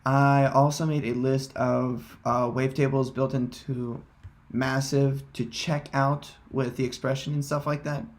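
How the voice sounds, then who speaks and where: A man presenting a casual software tutorial in hesitant, halting, unscripted phrasing. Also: medium pitch, little pitch movement.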